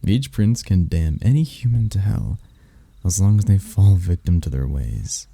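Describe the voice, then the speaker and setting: A man playing a suave, arrogant demon prince of lust in an intimate ASMR roleplay, with a gravelly, breathy voice and a smooth, taunting manner. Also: sits low, lively intonation, warm tone, no echo, full character voice. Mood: condescending, sultry. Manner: smooth-talking, taunting, unhurried, dropping into whispers. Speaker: voice actor.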